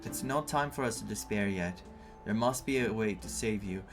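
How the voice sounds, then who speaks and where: A man playing a serious, reserved and loyal young traveling companion in a video game, speaking in a measured, earnest, restrained way. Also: fairly low pitch, close to an everyday voice. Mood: grave, resolute. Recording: game music under the voice.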